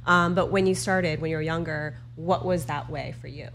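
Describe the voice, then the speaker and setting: A woman's voice: low voice, steady tone, normal speed, very noisy. A woman hosting an interview, talking conversationally with her guest. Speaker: interview host.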